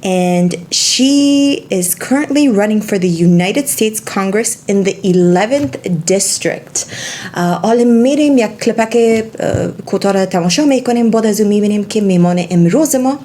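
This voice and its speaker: A woman's voice: mid-range voice, animated, racing. A woman hosting a talk show and interviewing her guest, talking with many fillers and restarts. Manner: warm, hesitant, with many fillers.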